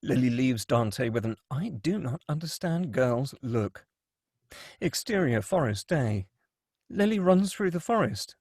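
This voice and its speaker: A man's voice: mid-range voice, big pitch swings, unhurried, a little mellow, slightly rough, no room sound, clean studio sound. A man reading stage directions as dramatic scripted narration at a table read.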